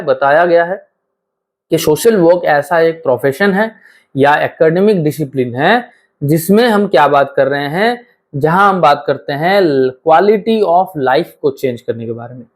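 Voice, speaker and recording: Hoarse Indian man teaching online. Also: a little high, animated. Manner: chatty, code-switching, reads English slowly. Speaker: online tutor. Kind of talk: exam-prep lecture.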